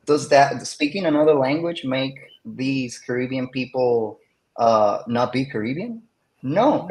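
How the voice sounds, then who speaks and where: A man's voice: medium pitch, rough recording. A man, an English teacher, answering questions in an academic podcast interview and explaining ideas with rhetorical questions. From Puerto Rico. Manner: explains with rhetorical questions and frequent tag "right?".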